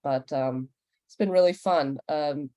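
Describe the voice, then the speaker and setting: A woman leads a casual panel with a mellow, rather low voice. She explains in a measured, candid manner. The recording is dry and close. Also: dry recording. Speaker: senior content executive, panel host.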